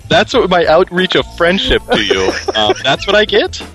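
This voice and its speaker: Playful male podcast host, lively pitch. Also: normal speed, faint music behind, poor audio. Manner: playful, theatrical intros. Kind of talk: casual podcast chat.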